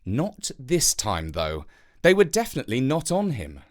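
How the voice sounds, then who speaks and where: Storyteller, medium-pitched, very animated, expressive children's narration, deliberate phrasing.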